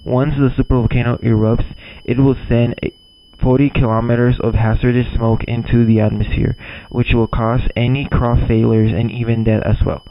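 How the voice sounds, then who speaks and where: A man playing a grave emergency broadcast announcer, reading formally and deliberately from a script, occasionally stumbling over words. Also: mid-range voice, little pitch movement, a little hiss or hum, lightly acted. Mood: urgent, instructive. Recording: dull lo-fi audio.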